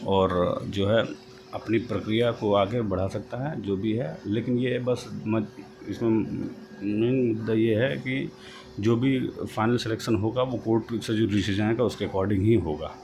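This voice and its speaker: A man giving an informal explanatory update, halting and rephrasing as he goes, mixing in English terms. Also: on the low side. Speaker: host of a job-news channel. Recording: noisy home-made voice-over, background noise.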